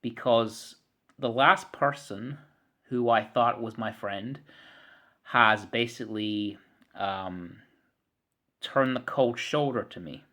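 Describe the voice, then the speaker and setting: A man in his thirties rants to his camera in a rambling, frustrated monologue. He repeats words, swears freely and often clears his throat. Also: mid-range voice, takes it slowly, pauses often, pushed and bright. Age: 30s. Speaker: vlogger.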